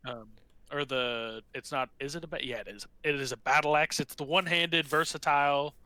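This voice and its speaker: A man with a high voice and a very sharp, bright tone plays a tabletop game. He talks casually in a rambling, slangy way and swears freely. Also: fairly even intonation, a little fast, no room sound. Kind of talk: casual chat.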